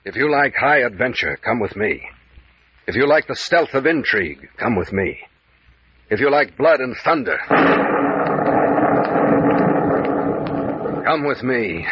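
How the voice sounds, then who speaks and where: An actor playing a commanding, hard-boiled private investigator in an old-time radio adventure serial. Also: male voice, medium pitch, lively intonation, normal speed, very noisy, close to an everyday voice. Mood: dramatic, inviting. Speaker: actor and in-character narrator. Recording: muffled vintage radio recording.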